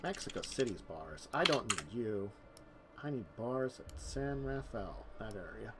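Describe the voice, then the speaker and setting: A man's voice: lowish voice, lively intonation, a little slow, very noisy. A man running a tabletop vampire game as game master, talking casually with many fillers.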